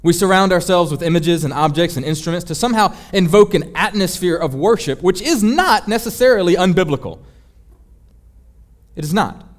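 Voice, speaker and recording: Higher-voiced man preaching emphatically. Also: expressive, normal speed. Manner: rhetorical, emphatic, confessional. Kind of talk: sermon, teaching.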